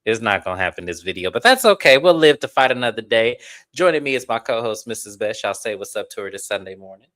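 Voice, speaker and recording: A man's voice: fairly low pitch, fast talker. A man hosting a live stream, chatting casually to viewers as he recaps a reality show episode. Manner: chatty, animated, addresses viewers directly. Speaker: host.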